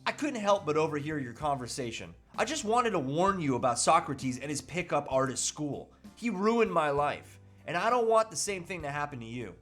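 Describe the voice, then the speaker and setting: A man's voice: fairly high pitch, sing-song, moderate pace, hardly any pauses, fairly bright tone, faint music behind. A man playing a tormented, guilt-ridden husband, earnest and melodramatic, in an amateur table read. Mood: earnest warning. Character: tormented, guilt-ridden husband warning against a pickup artist.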